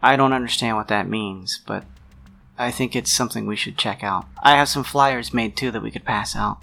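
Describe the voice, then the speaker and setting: Man playing a low, gravelly, troubled friend. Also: bright, forceful tone. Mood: earnest, subdued. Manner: halting, deliberate, pausing mid-sentence. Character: troubled man worried over his missing friend, protective, sincere. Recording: music under the voice.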